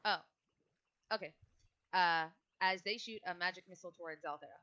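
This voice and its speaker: A woman's voice: fairly low pitch, normal speed, lo-fi sound, heavy background noise. A woman running a tabletop game as game master, casually switching between narration and rules talk in an informal way.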